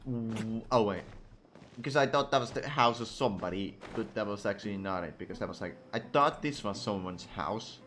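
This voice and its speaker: A man's voice: lowish voice, animated, moderate pace, fairly bright tone, a little hiss or hum. A man giving casual live gameplay commentary as a streamer, irreverent, muttering asides and repeating himself. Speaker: game streamer.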